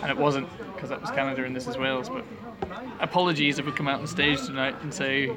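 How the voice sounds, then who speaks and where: A man's voice: medium pitch, fairly even intonation, fast talker. Jokey man chatting over crowd noise. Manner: jokey, rambling. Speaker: band musician being interviewed. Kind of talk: casual joking interview chat.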